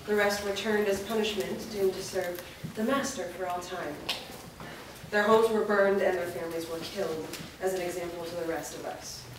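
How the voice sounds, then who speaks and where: A woman's voice: fairly low pitch, steady tone, moderate pace, lightly acted. An actress playing a fearful, defensive village woman in a staged play reading. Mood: grim, wary. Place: large bare indoor room, staged reading. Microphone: distant camera. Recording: echoey room from far off, noisy.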